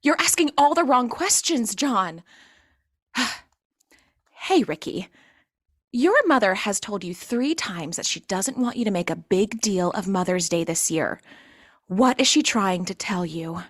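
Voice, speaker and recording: A woman playing a bossy, sharp, impatient rescue team member in a comic audio play, commanding and exasperated. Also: medium pitch, expressive, a little slow, dry recording, studio quality. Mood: brisk, dry.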